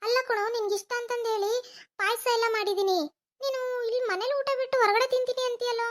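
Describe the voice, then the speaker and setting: A woman's voice: extremely high pitch, fairly even intonation, fast, pitched above the performer's own voice. A woman voicing a worried middle-aged mother who nags and pleads with her grown son, in a home-made cartoon. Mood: scolding. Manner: nagging, pleading, lamenting.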